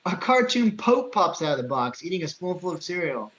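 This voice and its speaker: A man's voice: high-pitched, big pitch swings, fairly quick, a bit bright, highs cut off. A man reading out the stage directions of a film script as scripted narration.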